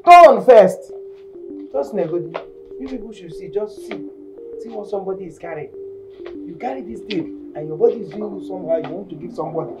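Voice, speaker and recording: A woman's voice: medium pitch, animated, fairly quick, loud music behind the voice, close to an everyday voice, poor audio. A woman with a Nigerian accent playing a scheming, lecherous male house steward who bullies and mocks the maid in a comedy skit. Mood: mocking. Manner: mocking, bullying, laughing.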